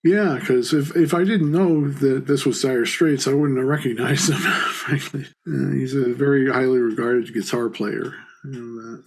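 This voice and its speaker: A man co-hosting a music reaction show, chatting casually about rock with a husky voice. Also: medium pitch, steady tone, normal speed, few pauses.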